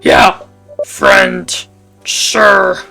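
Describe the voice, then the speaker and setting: A woman voicing a gruff, cranky male landlord in a visual novel, speaking in a stern, gravelly, put-on low voice. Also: huge pitch swings, music in the background, exaggerated voice. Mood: cranky, dismissive. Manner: slow, stern, scolding.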